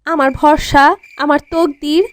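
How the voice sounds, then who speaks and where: A woman's voice: high-pitched, level tone, measured pace. A woman voicing a melodramatic, anxious teenage schoolgirl in a comic cartoon, with a whiny manner. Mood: anxious complaining. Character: dramatic, anxious teenage schoolgirl awaiting exam results. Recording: music behind the voice.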